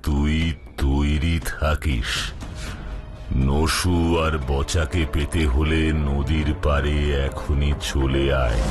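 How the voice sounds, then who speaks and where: A man voicing a sly, vengeful magician professor in a Bengali children's cartoon, menacing and theatrical, with drawn-out words. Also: rumbling low, full character voice. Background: background music.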